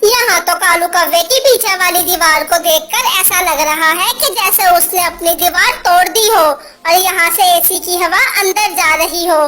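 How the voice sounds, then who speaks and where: A woman's voice: very high voice, a little slow, over music. A woman voicing a small sparrow neighbour in a children's cartoon story, with a childlike, simple delivery. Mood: suspicious. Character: friendly sparrow neighbour who grows suspicious, small bird.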